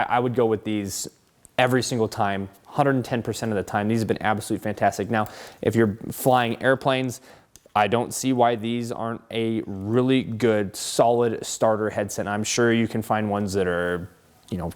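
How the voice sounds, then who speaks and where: A man's voice: lowish voice, a little mellow. A man with an upbeat, enthusiastic way of talking, hosting a car channel and giving a casual product review to camera.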